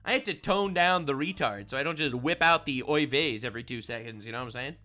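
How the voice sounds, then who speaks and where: Sarcastic ranting streamer, bright voice. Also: male voice, medium pitch, quick pace, faint music behind. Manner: sarcastic, mocking, rants loudly. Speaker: streamer reacting to videos. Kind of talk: casual reaction commentary.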